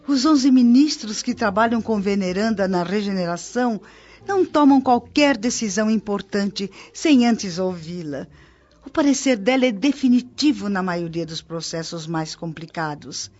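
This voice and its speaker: An actress with a Brazilian accent playing a devoted spirit-colony guide in a radio drama, explaining things in a reverent, articulate way. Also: female voice, medium pitch, animated, moderate pace, a little mellow, natural voice. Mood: admiring, devout. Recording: dull lo-fi audio, clear music behind. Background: music.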